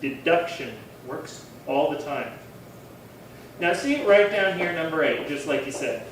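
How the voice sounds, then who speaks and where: A man's voice: a little high, lively intonation, measured pace, a bit bright. Guy doing a chatty smug but friendly cop sidekick, old radio play, far from mic, tons of echo. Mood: self-satisfied. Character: chatty, self-satisfied male detective sidekick, amiable. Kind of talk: 1950s radio crime drama acting. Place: live theatre performance space. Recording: very echoey hall, distant, faint noise.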